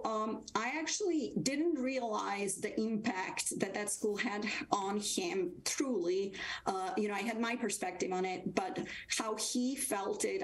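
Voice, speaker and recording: A woman from Serbia, speaking as a guest over a video call from a small wood-paneled room. She talks at a middle pitch and hesitates often, filling pauses and restarting her sentences. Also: poor audio. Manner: hesitant, many fillers and restarts. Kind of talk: remote interview storytelling.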